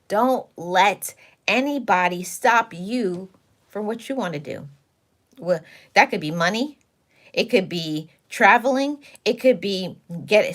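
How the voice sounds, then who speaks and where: A woman's voice: medium pitch, a bit bright. Sassy, preachy woman livestreaming to her chat. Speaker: livestream host. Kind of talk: casual livestream talk to chat.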